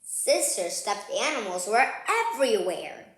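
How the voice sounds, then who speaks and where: A woman reading a children's picture book aloud, playful and expressive, chatting to young listeners between lines. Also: mid-range voice, unhurried. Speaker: read-aloud host. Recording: roomy, echoing sound.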